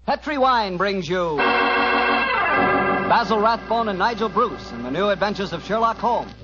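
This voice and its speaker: An American man announcing in 1940s radio style, giving a polished sponsor's sales pitch. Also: huge pitch swings, unhurried, over loud music. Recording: muffled 1940s radio recording.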